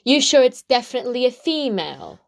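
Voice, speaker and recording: Woman as rookie investigator, bossy, eager, high animated voice, comic mystery play. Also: brisk, gravelly. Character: eager, bossy young detective's sidekick. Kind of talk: hobby comedy murder-mystery audio drama.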